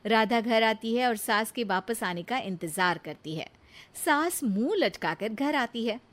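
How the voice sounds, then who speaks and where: A woman's voice: a little high, animated, unhurried, fairly bright tone. A woman narrating a scripted moral story for children as its storyteller.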